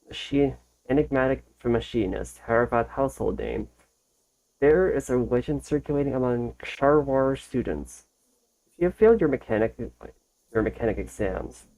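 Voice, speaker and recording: Mellow-voiced man reacting and reading aloud. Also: medium pitch, low-quality recording. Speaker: reaction video host. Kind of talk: casual reaction commentary and reading text aloud.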